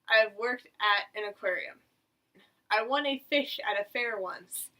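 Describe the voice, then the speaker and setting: Bright chatty teen girl vlogging, rambles and teases herself, bit far from the mic. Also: mid-range voice. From United States. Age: about 18. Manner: chatty, rambling, self-mocking. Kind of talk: casual talk to camera. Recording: voice a little away from the mic.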